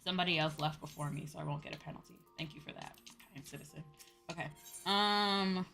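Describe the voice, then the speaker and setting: Chatty woman streamer over clear background music. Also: sits low, fairly quick, pushed and bright. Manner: informal, chatty, peppered with "you know" and "like". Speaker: streamer playing an online game. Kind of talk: casual livestream chat, thinking aloud while playing.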